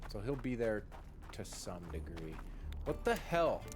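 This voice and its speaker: A man chatting casually and ramblingly as a game streamer, informal and halting, leaning on key words. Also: on the low side, big pitch swings. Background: game music.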